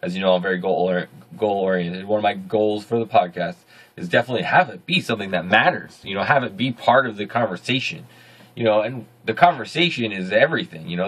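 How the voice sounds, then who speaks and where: A man in his thirties with a deep voice, hosting a casual video diary from a small home studio, rambling and correcting himself as he goes. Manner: rambling, self-correcting, upbeat. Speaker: video journal host.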